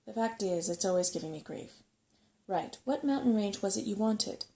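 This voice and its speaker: A woman's voice: medium pitch, animated, normal speed, warm tone, close to an everyday voice. A woman voicing a self-satisfied old male rat, a chatty and cautious know-it-all, in a bedtime story. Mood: boastful, grumbling.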